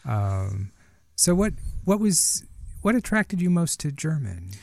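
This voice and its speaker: A man's voice: a little high, big pitch swings, takes it slowly, creaky, a little mellow, dry recording. A middle-aged man hosting an interview, asking curious, friendly questions. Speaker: podcast host.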